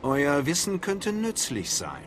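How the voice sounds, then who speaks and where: A man playing a determined, eager dark acolyte in a video game, speaking directly, confidently and formally. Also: on the high side, huge pitch swings, slow pace, faint music behind, exaggerated voice. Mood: determined, inquiring. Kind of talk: dramatic video game voice acting in German.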